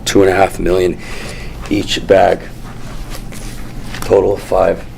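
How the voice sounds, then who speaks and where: A guilt-laden, withdrawn young man speaks in halting, terse pieces. His voice is deep, slow and creaky, recorded with a little room ambience. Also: monotone, darkish tone, slight background noise, exaggerated voice, rough recording. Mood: subdued. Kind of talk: actor at a script table read.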